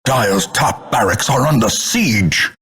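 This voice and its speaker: An actor playing a grim, scornful older male announcer in a video game, grandiloquent and portentous, with a gravelly, husky voice. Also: medium pitch, lively intonation, slow pace, a bit bright, heavily acted. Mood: urgent warning. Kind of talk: theatrical scripted game announcements.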